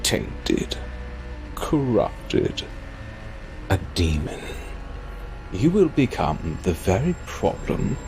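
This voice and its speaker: A man's voice: deep voice, very animated, gravelly, a little mellow, faint music behind, heavily acted. An actor playing a sly, theatrical immortal man in an audio drama, drawling and teasing. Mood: sly, ominous. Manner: languid, teasing, drawn-out.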